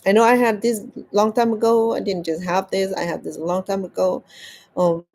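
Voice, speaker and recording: Woman telling a story haltingly. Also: medium pitch, level tone, darkish tone. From Cambodia. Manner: halting, repeats words as she searches for them. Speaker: crochet vlogger. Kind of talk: casual storytelling while crafting.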